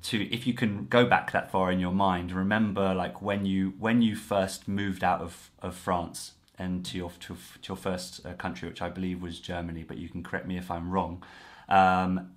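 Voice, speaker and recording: A man's voice: low voice, flat delivery, moderate pace. A man in his thirties hosting a casual interview over a video call from a room at home, friendly and full of restarts. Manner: friendly, with frequent fillers and restarts. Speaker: host, French coach.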